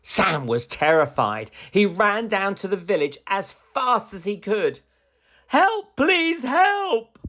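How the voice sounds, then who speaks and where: A woman reading a picture book aloud for children in an animated, deliberate storytelling manner. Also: mid-range voice, lo-fi sound.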